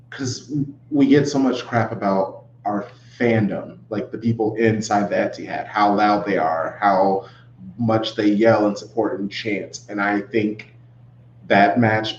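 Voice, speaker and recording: Guy with lowish voice talking sports fandom, keeps restarting, echoey room with some hum behind. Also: darkish tone. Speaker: podcast guest and co-host of a fan podcast. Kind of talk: casual sports fan chat. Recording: roomy, dull audio with clear hum.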